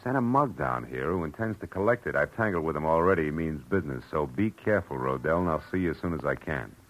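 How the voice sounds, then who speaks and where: A man's voice: very deep voice, expressive, quick pace, low-quality recording. An American man playing a tough, wry private eye in a 1940s radio detective drama, with clipped, slangy delivery. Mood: urgent, firm. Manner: clipped, slangy, hard-boiled. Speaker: lead actor and narrator. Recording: old radio broadcast with music.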